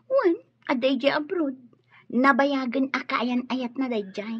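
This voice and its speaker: A woman's voice: sits high, unhurried, breathy edge, no echo. A woman playing a coy, teasing young single nurse in a radio drama. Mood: chatty. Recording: muffled radio sound, faint music.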